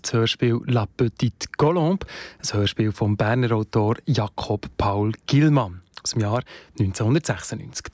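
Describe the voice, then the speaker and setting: A man's voice: mid-range voice, a little mellow, no room sound. A man with a Swiss German accent reading scripted credits as a radio announcer. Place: dry radio studio.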